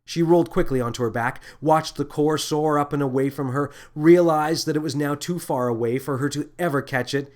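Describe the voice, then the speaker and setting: A man's voice: on the high side, fairly quick, few pauses, darkish tone. A man reading scripted sci-fi fiction as an audiobook narrator, in a dramatic storytelling style. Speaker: author and narrator.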